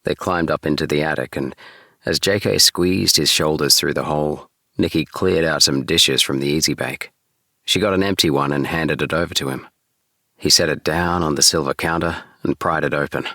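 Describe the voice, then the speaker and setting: Deep-voiced man reading calmly. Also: normal speed, no echo, clean studio sound. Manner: calm, steady. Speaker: narrator. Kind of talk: audiobook reading.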